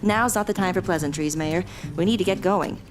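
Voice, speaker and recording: Woman playing a brisk, sardonic mayor's aide. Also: fairly low pitch, background noise, lightly acted. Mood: impatient. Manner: clipped, sarcastic, hurried. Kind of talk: scripted audio drama acting. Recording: loud music behind the voice.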